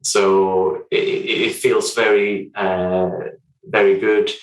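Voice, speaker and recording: A man from Sweden with a Swedish accent, a guest talking through his work in a measured, hesitant way with frequent restarts. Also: low voice, level tone, roomy sound, distant mic, poor audio. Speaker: hotel development director, podcast guest. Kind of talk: casual interview chat.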